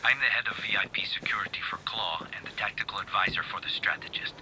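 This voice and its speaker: Low-voiced, measured security chief. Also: male voice, whispered, rough recording. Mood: blunt, businesslike. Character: shrewd, blunt male security chief and covert ally. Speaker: video game character, security chief and tactical advisor. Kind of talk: video game cutscene dialogue. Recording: loud gunfire and vehicle noise behind.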